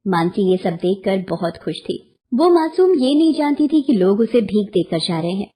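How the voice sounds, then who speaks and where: A woman's voice: medium pitch, sing-song, normal speed, dark tone, slightly rough. A woman storyteller giving scripted narration of a children's moral story.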